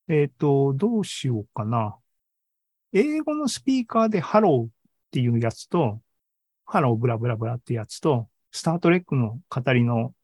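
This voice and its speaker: A man giving a casual live presentation as host, halting and thinking aloud as he goes. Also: medium pitch, darkish tone, dry recording. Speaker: podcast host and tech presenter. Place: online video meeting talk, dry close sound.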